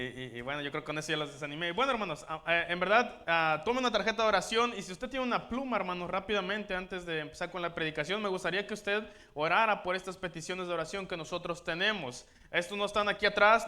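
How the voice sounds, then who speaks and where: A man's voice: high voice, sharp tone. A missionary man making an earnest appeal to a church congregation, calling them brothers in nearly every sentence. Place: church sanctuary.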